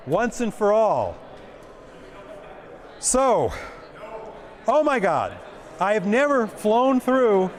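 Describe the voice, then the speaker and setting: A man with a big booming voice, giving a conference talk and answering questions with jokes. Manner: joking, self-deprecating, repeats questions aloud. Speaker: conference speaker. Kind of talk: presentation and Q&A.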